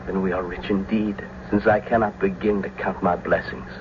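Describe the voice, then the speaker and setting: An actor playing an earnest, passionate young lover in a radio Shakespeare drama, with heartfelt declamatory delivery. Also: male voice, deep voice, flat delivery, moderate pace, close to an everyday voice. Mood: tender, earnest. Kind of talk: old-time radio Shakespeare acting. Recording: noisy, dull old radio recording.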